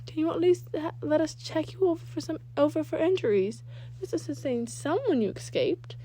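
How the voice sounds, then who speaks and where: Woman voicing a high-pitched, scolding worried mother. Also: animated, fairly quick, mellow, a little hiss or hum. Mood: worried, insistent. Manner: insistent, scolding. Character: worried, hot-tempered mother who hunts ghosts.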